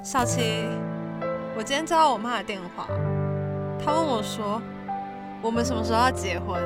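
Actress doing a young taiwanese girlfriend, insecure and expressive, high swoopy voice, audio drama w music under it. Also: normal speed, natural voice. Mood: sad, resigned. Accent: Taiwanese Mandarin. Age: young adult.

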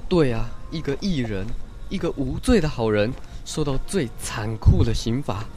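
An actor playing an anxious, doubting older village man in a radio drama, with expressive sighs and exclamations, as in a biblical tale. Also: medium pitch, normal speed, natural voice. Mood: troubled, doubtful.

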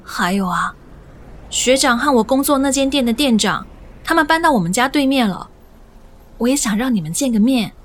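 Casting: soft-hearted young woman, evasive and pleading, very high voice, lively melody, modern radio romance. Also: moderate pace. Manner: hesitant, coaxing.

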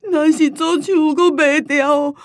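A woman's voice: high-pitched, steady tone, a little slow, no room sound, studio quality. An actress playing a confused old grandmother with a Taiwanese accent, plaintive and pleading, in a radio drama. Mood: tearful. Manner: plaintive, calling out in Taiwanese Hokkien.

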